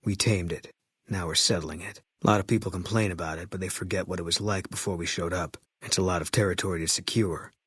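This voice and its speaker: A man voicing a grim, embittered military sniper in a video game, terse and guarded, with a husky voice. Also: sits low, fast, dry recording. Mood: matter-of-fact. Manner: terse, guarded, flat, clipped delivery. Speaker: video game companion character. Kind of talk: game voice acting, terse dialogue lines.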